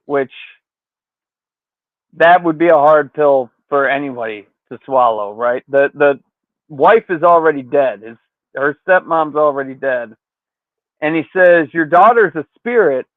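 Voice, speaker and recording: A man's voice: fairly high pitch, dry recording. A man hosting a podcast, casually recapping a story in slangy, profane language, with thin phone-like sound. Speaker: podcast host.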